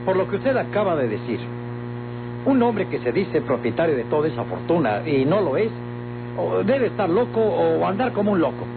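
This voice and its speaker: A man's voice: fairly high pitch, very animated, normal speed, vocal fry. An actor with a Mexican accent playing an evasive, indignant businessman accused of murder, formal and defensive, in a vintage radio drama. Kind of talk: Mexican golden-age radio drama acting. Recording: muffled vintage radio recording, music behind.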